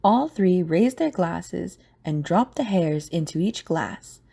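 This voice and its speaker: A woman's voice: fairly low pitch, animated, takes it slowly, gravelly, warm tone, breathy edge. A woman narrating a scripted audio drama.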